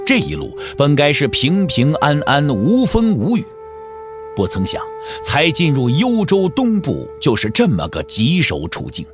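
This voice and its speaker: A man's voice: on the high side, huge pitch swings, measured pace, music in the background. A man narrating a martial-arts audio drama in a theatrical, wry storytelling style. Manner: theatrical, wry, with rhetorical questions.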